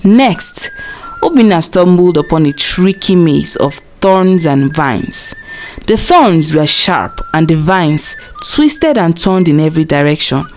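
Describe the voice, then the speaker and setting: A woman's voice: low voice, animated, slow, a little mellow, a little hiss or hum. A woman storyteller narrating a scripted folktale in a dramatic storybook delivery.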